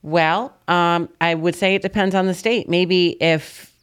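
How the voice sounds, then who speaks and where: An insistent woman co-hosting a podcast, pressing her point and refusing to be cut off. Her voice is somewhat low for a woman. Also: steady tone, measured pace, clean tone. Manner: insistent, argumentative. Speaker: podcast co-host. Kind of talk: opinionated political talk.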